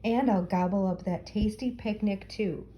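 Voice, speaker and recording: A woman's voice: mid-range voice, moderate pace, dull audio, a little hiss or hum, lightly acted. A woman voicing a crafty, greedy male storybook wolf with a sly, coaxing manner. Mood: greedy, menacing.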